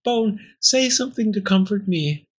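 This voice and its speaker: A man's voice: high voice, lively intonation, normal speed, husky, voice pushed up. A man voicing a sweet, timid young girl in a storybook, polite and pleading in a whimpering manner. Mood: frightened, pleading.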